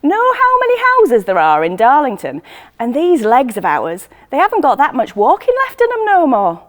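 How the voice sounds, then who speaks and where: A woman voicing a blunt, affectionate older choir friend, calling out loudly and colloquially in a dramatic monologue. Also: sits high, huge pitch swings, moderate pace, big-room sound. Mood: hearty, affectionate.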